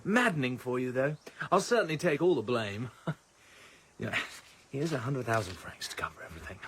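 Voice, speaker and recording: A suave british spy in a radio drama, really swoopy intonation, bit creaky, music behind. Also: male voice, mid-range voice, lively intonation, moderate pace. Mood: teasing. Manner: wry, teasing, urbane. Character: suave British male secret agent, wry and cool. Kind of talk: radio play acting.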